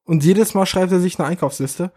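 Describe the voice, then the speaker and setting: A man's voice: high voice, fairly quick, mellow. A man co-hosting a podcast, improvising a story with many hesitations. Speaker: podcast co-host.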